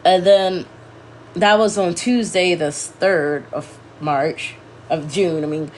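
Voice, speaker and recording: A woman's voice: lowish voice, steady tone, takes it slowly, darkish tone, slight background noise. Congested, rambling woman livestreaming. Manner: meandering, self-correcting, talking to viewers. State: congested and scratchy-throated. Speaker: livestream host.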